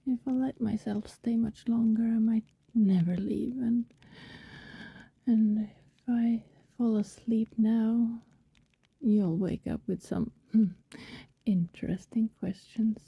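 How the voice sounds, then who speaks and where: A woman playing a tender, possessive vampire in an intimate ASMR roleplay, seductive and wistful, whispering slowly and soothingly close to the microphone. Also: on the high side, steady tone, dry recording, dull audio, heavily acted. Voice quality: breathy, mostly whispered. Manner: slow, lingering, soothing delivery. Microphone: very close, dry microphone.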